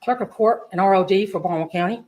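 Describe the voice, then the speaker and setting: A woman's voice: mid-range voice, quick pace, a little mellow, poor audio. A woman, testifying in court as a clerk, answering politely and matter-of-factly. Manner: polite, matter-of-fact, answers with yes sir.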